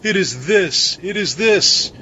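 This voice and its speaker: A man's voice: high-pitched, expressive, slow, pushed and bright, dull audio, slight background noise, voice pushed up, full character voice. A man doing a tearful, timid baker. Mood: tearful pleading. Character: tearful timid male baker, anxious and rambling. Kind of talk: storybook poem reading.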